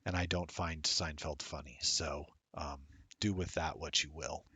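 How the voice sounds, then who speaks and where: A man's voice: rumbling low, steady tone, a little slow, bright, forceful tone, breathy edge, dry recording. A middle-aged man rambling casually while commentating a streamed tabletop game, self-deprecating and chatty.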